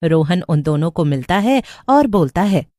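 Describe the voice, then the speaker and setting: A woman's voice: lowish voice, animated, moderate pace, warm tone, dry recording, studio quality. A woman narrating a scripted horror story as the storyteller.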